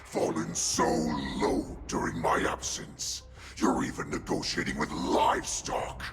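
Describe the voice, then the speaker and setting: An actor playing an ancient, cruel beast warlord in a video game, growling with imperious, menacing contempt. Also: male voice, a little slow, natural voice. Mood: mocking contempt. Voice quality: guttural, growling, gravelly. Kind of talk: dramatic video game villain acting. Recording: loud music behind voice.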